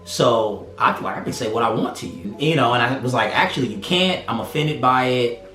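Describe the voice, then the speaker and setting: A man's voice: medium pitch, a little fast, sharp tone. A man in his thirties recounting a personal experience conversationally, acting out what others said. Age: 30s. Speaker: interviewee. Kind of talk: personal testimony. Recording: roomy echo with faint music.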